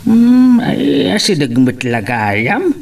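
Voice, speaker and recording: A man's voice: high voice, huge pitch swings, slow, vocal fry, a little mellow, distant mic, full character voice. An actor playing a prying but reassuring male confidant in an Ilocano drama. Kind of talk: Ilocano radio-style drama acting.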